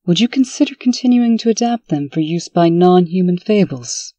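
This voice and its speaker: A woman voicing a reserved, authoritative fairy-tale princess in a comic dub, with measured, formal delivery. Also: fairly low pitch, expressive, warm tone, dry recording, dull audio. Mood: businesslike.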